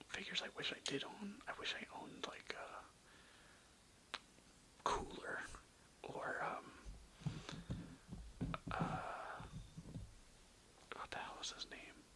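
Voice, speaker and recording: An ASMR host rambling casually in a breathy whisper, loosely strung together with lots of filler words. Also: medium pitch, bright, forceful tone. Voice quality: breathy, mostly unvoiced whisper. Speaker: host of a toy-collection ASMR video.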